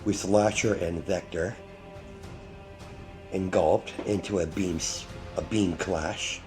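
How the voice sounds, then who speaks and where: A man hosting a channel, reading a fan story aloud in a plain, unhurried way, his voice not fully recovered. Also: deep voice, fairly even intonation, over music. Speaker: fanfiction narrator, channel host.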